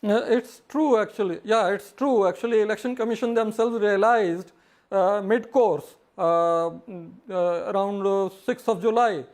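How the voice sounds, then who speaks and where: Male panelist, high voice, halting answer full of pauses. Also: darkish tone. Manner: hesitant, many pauses. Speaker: panel guest. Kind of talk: debate answer.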